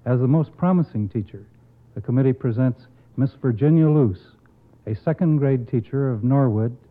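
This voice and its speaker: A man delivering a formal, measured prepared speech as an awards committee spokesman. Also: medium pitch. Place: radio broadcast. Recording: muffled phone-like old radio recording.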